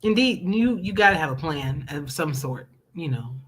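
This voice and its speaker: Low-pitched American woman hosting casually. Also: expressive, normal speed, a bit bright, slightly rough. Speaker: host of a gaming talk show. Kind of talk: casual interview chat.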